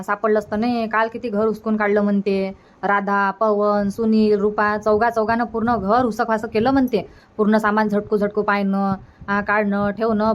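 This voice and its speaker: A woman's voice: medium pitch, lightly acted. A woman voicing a practical village mother in a cartoon, gossipy and advising, repeating herself in a Varhadi Marathi accent. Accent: Varhadi Marathi from Vidarbha. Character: practical, reassuring village mother of a married daughter. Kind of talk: colloquial cartoon dialogue.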